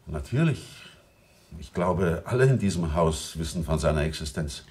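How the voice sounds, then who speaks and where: A man's voice: low voice, animated, moderate pace, darkish tone. A man playing a reserved witness in a German radio crime drama, speaking formally and hesitantly as he testifies. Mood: careful, reserved. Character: reserved, careful male witness giving testimony.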